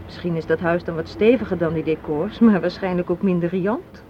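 An actress playing a sharp-tongued, unsentimental young woman in a radio play, sardonic and matter-of-fact as she argues. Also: lowish voice, fairly quick, natural voice. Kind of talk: Dutch radio drama acting. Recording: muffled, noisy old radio play recording.

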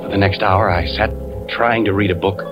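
An actor playing a suspicious, troubled husband and investigator who narrates a 1940s radio thriller in a clipped, hard-boiled way. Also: male voice, fairly low pitch, fairly even intonation, moderate pace, close to an everyday voice. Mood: brooding, tense. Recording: muffled 1940s radio broadcast, music behind.

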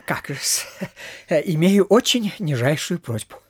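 Obsequious, high-voiced little provincial gentleman. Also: expressive, breathy edge, no echo. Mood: humble pleading. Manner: halting, humble, ingratiating. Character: obsequious, fussy little provincial landowner begging a favour. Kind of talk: theatrical audio drama acting.

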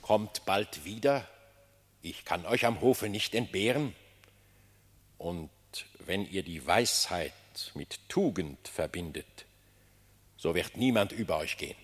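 An actor playing a gracious, commanding lion king in a German radio play, speaking in a stately, measured way. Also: deep voice, expressive, husky, in a large hall, exaggerated voice. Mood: regal.

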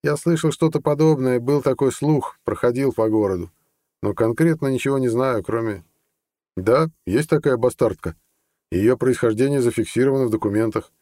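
A man voicing a sly, deliberate judge with a lawyerly manner in an audiobook. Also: medium pitch, dark tone, no echo, clean studio sound, pitched above the performer's own voice, natural voice. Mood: hesitant, explanatory. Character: sly, measured older judge, a scheming conspirator. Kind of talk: audiobook character dialogue.

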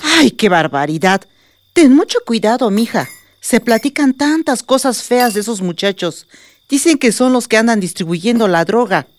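A woman's voice: on the high side, lively intonation, moderate pace, no room sound, lightly acted. A woman playing a worried, gossipy old village grandmother with a Mexican accent in a radio soap opera. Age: 60s or older.

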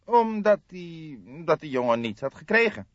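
A testy younger male detective, put on by a man narrating an audiobook. His voice sits raised and animated, insistent and puzzled as he argues. The recording is close and a little dull. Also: a little high, measured pace, no echo, voice pushed up. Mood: hesitant. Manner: insistent, irritated, questioning. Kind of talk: audiobook character voice.

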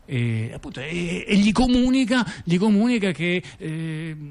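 Halting, conversational male storyteller. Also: high voice, very animated, gravelly, a little mellow. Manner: halting, with repeated words and frequent rhetorical tag questions. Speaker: storyteller in a two-person narrative theatre dialogue. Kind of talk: conversational narrative monologue.

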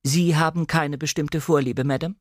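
A man voicing a coolly deferential female housekeeper of a manor in an audiobook drama, with formal, measured politeness. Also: a little high, fairly even intonation, no echo, studio quality, voice pushed up, close to an everyday voice.

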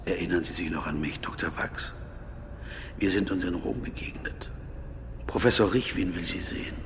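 A man's voice as a menacing, humorless thug in a radio play. He is on the low side in pitch and threatens bluntly. The voice rings in a long reverberant hall with faint noise behind it. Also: normal speed. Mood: curt, commanding. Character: Hammer, hulking uncouth male thug, menacing killer. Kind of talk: German radio drama acting.

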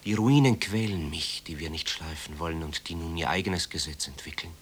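An actor playing a tormented, brooding composer with solemn, bitter declamation in a German radio drama. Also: male voice, a bass voice, fairly quick, breathy edge. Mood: anguished. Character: tormented, brooding composer son of an old lady. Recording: hissy 1960s radio play recording.

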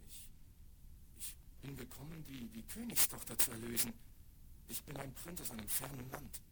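A man's voice: mid-range voice, normal speed, natural voice. Man acting a brave young prince, crackly old record. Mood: earnest, resolute. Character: brave, earnest young fairy-tale prince. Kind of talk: 1970s German children's audio play acting. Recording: very noisy vinyl record with clicks.

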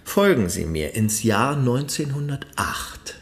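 A stubborn academic making his pitch. His mid-range voice moves in lively swings as he lectures, earnest and insistent, in radio drama style. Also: takes it slowly. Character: eager, stubborn male academic pitching a universal language.

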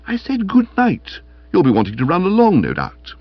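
A man voicing a flippant, idle young gentleman with an upper-class English accent in a comic audiobook, breezy and scornful. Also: medium pitch, huge pitch swings, fast, no room sound, dull audio, pitched above the performer's own voice. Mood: airy, amused. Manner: airy, scornful, breezily self-satisfied.

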